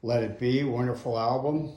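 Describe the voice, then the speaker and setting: A grandfather in his seventies, casually showing his home music memorabilia in a darkened room, fond and unhurried. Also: mid-range voice, steady tone, warm tone, echoey room, dull audio. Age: 70s. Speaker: grandfather hosting a family music event. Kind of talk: casual home video tour.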